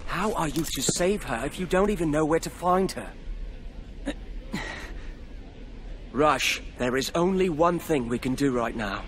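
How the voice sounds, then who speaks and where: An actor playing an earnest young noble lord and calm leader, formal and commanding in a video game drama. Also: male voice, a little high, slight background noise.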